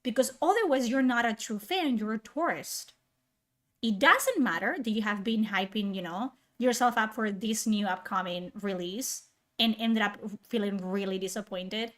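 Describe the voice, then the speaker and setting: Sarcastic, heated woman streamer ranting. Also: mid-range voice.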